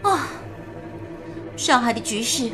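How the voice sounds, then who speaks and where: An actress playing a spirited, resolute young radio station worker, speaking with emphatic expression in a wartime radio play. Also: female voice, on the high side. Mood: teasing, then worried. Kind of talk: dramatic radio play dialogue.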